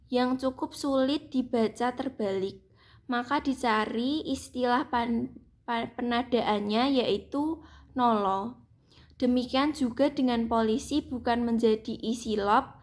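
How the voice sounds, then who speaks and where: A young woman reads her seminar paper aloud in a fairly high voice. She keeps a steady, even reading pace and now and then restarts a word. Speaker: student presenter.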